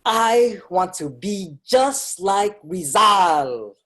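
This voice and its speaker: A woman's voice: mid-range voice, lively intonation, extremely slow, fairly bright tone, a little hiss or hum. A woman playing an earnest young Filipino immigrant writer who recalls his boyhood in a dramatic staged reading. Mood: reflective. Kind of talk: staged reading, storytelling narration and dialogue.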